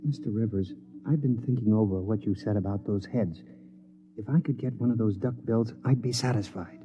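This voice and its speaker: An actor playing a mild, earnest amateur trophy hunter in a 1950s radio drama, polite, plainspoken and a little unsure. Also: male voice, on the low side, normal speed, mellow, dull audio, slight background noise, natural voice.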